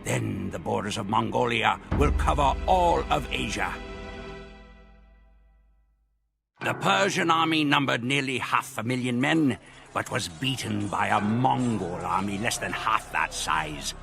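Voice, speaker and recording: A man playing a proud Mongol warrior who solemnly narrates grand conquests in a measured, theatrical way for a game. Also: mid-range voice, expressive, gravelly, over music. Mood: proud, grandiose. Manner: measured, theatrical storytelling delivery. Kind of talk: dramatic scripted narration.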